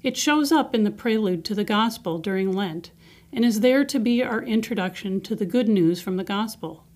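Female devotional reader: mid-pitched voice, earnest, measured scripted delivery. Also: darkish tone. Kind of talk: scripted devotional reading and reflection.

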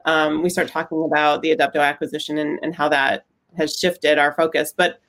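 A woman's voice: deep voice, little pitch movement, fairly quick, a bit bright. A woman from Michigan, a guest in a casual video chat, friendly and slightly hesitant. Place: video call.

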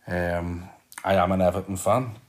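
A man's voice: low voice, steady tone, moderate pace. A man with a Liverpool accent hosting his own podcast, chatting casually and rambling with frequent ums and repeated words. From Liverpool, England. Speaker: podcast host. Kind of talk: casual solo podcast talk.

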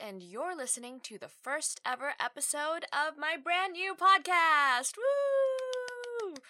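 A woman's voice: fairly high pitch, sharp tone. A woman in her thirties from Australia, hosting her own podcast in a chatty, candid monologue with frequent laughs and asides. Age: 30s. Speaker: podcast host. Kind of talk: casual solo podcast monologue.

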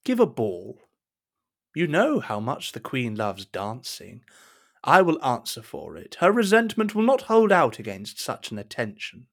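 An actor playing a scheming, deferential cardinal in a period audio drama, speaking in a measured, formal, persuasive way. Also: male voice, medium pitch, wildly swooping pitch, vocal fry, a bit bright, heavily acted. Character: smooth, scheming cardinal, deferential royal minister.

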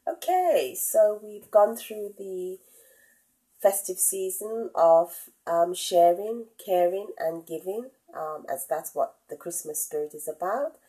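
A middle-aged woman hosting her own podcast, talking casually with a head cold and many pauses and ums. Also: mid-range voice, expressive, warm tone, rough recording. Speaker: podcast host. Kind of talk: casual solo podcast talk.

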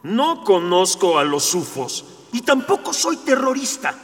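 A naive, bumbling hero with a Mexican accent in a comic radio play. He is fairly high-voiced and lively in pitch, hesitant yet self-important. The sound rings in a long hall. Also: measured pace, exaggerated voice. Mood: indignant. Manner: hesitant, stammering, indignant. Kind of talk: comic radio drama acting.

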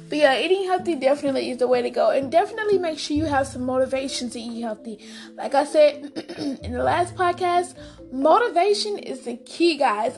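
A high-pitched woman with a raspy, hoarse voice chats casually with her podcast listeners. She talks with friendly enthusiasm and keeps calling them "guys". Faint music plays behind her. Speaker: podcast host. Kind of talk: casual solo podcast chat.

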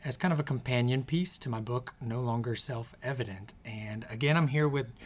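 A man hosting his podcast in casual conversation, his voice a little scratchy, talking in an earnest, reflective way that often trails off softly. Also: medium pitch, moderate pace. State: slightly scratchy voice. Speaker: podcast host and author. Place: in the same room as co-host.

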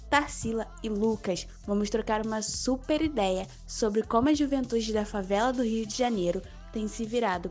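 A woman with a slightly high voice opens the podcast. Her delivery is upbeat and cheerful, with faint music behind her. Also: a little mellow. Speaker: podcast co-host. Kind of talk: scripted podcast introduction.

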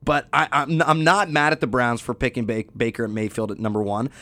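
A man's voice: medium pitch, steady tone, a little fast, sharp tone, no echo. A man talking football in a casual debate, rambling and often restarting his sentences. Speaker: sports podcast co-host.

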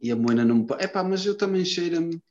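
Homem lisboeta calão, som abafado. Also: male voice, on the high side, animated, quick pace, a little mellow, slight background noise. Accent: Lisbon Portuguese. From Lisbon, Portugal. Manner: slangy, swears a lot, rambling. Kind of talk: casual chat. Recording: dull lo-fi sound.

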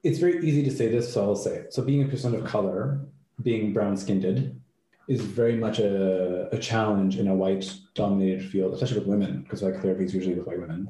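Casting: low, mellow man from Canada, reflective interview guest, echoey room. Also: fast, a little hiss or hum. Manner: earnest, thoughtful, often restarts words. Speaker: psychotherapist guest.

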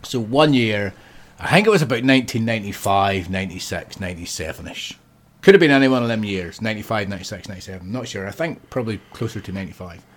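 A man's voice: on the low side, animated, fast. A man in his late thirties co-hosts a laid-back chat. He trades jokes and curses with an easy, teasing manner. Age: 30s. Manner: banters, swears, laughs often. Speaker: podcast co-host. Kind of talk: casual chat.

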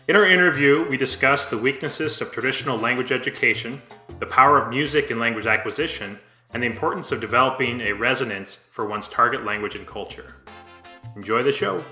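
A man with a very bright, edgy tone reads out a scripted show intro. His delivery is brisk and playful, in a roomy space with faint music underneath. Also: medium pitch. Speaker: podcast host.

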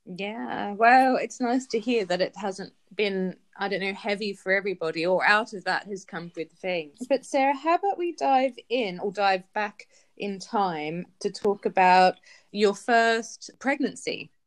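A woman hosting a podcast, reading a gentle scripted introduction and then asking friendly questions. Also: mid-range voice, unhurried. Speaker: podcast host.

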